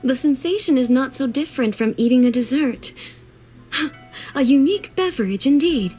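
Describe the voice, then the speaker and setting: Serene, formal divine ruler for a game. Measured, lively pitch, soft curiosity. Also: female voice, soft background music. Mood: curious. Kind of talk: video game dialogue.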